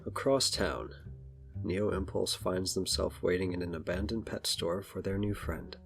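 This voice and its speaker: A man's voice: low voice, moderate pace, gravelly, soft background music. A man reading scripted narration for an audio drama.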